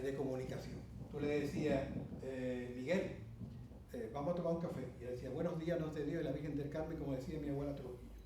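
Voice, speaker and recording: A man's voice: fairly high pitch, warm tone, a little hiss or hum. A middle-aged man with an Andalusian accent, giving a conference talk and telling a long anecdote with many asides and fillers. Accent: Andalusian Spanish. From Andalusia, Spain. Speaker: speaker at a conference. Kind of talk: storytelling talk to an audience. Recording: roomy hall heard from a distance.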